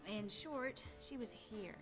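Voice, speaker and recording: An actress playing a brisk, capable young sorceress in a video game, speaking quickly and to the point. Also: female voice, mid-range voice, close to an everyday voice. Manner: quick, concerned, businesslike. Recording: game audio with music underneath.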